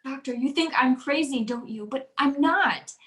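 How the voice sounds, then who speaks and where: An actress plays an anxious wealthy wife in an old-style radio thriller. Her voice sits high and pleads earnestly for belief. The sound is noticeably roomy. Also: moderate pace, a bit bright. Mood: frightened, insistent. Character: anxious wealthy wife convinced her husband wants her dead. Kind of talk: old-time radio drama acting.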